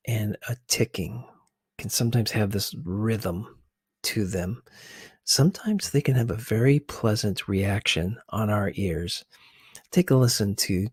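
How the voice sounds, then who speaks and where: A man giving soft, unhurried ASMR commentary as a video host, hesitant and sometimes slipping toward a whisper. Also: on the low side, husky, no room sound, clean studio sound.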